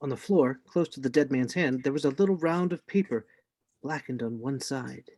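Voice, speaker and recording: A man playing the narrator of a radio play reading, telling a boyhood adventure in a measured, suspenseful way. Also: mid-range voice, a little mellow, no echo, close to an everyday voice. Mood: grave, suspenseful. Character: grown man recounting his boyhood sea adventure.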